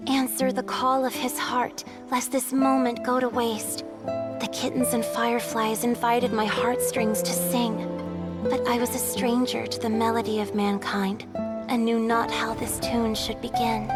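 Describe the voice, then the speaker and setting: A woman's voice: on the high side, close to an everyday voice. A woman voicing an ageless female water spirit in a game, speaking tenderly and earnestly with a lyrical, poetic delivery. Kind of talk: dramatic poetic game voice acting.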